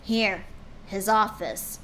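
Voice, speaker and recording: A woman's voice: mid-range voice, extremely slow, fairly bright tone, slight background noise, rough recording. A woman voicing a hostile, bitter female pegasus nurse who talks in a spiteful, growling way. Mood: curt.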